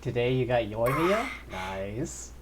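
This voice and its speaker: A man's voice: mid-range voice, very animated, slow, very noisy. A man chatting casually as he gives live commentary on a video game stream. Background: game music.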